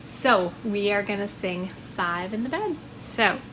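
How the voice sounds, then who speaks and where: A woman's voice: mid-range voice, lively intonation, slow pace, background noise. A woman working as a children's librarian, presenting storytime in a simple, sing-song way for small children.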